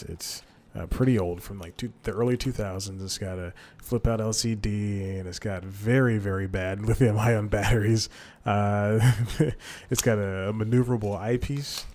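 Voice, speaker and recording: A man's voice: lowish voice, darkish tone. A young man hosting a casual solo podcast, rambling with many fillers and self-corrections into a desk microphone. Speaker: podcast host.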